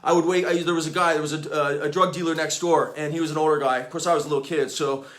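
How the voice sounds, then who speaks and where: Halting, enthusiastic man on a live stream. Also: a little high, steady tone. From Hawaii. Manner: halting, many uh and um fillers, enthusiastic. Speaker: author and app developer hosting a live stream. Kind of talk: casual livestream talk, reading reviews aloud.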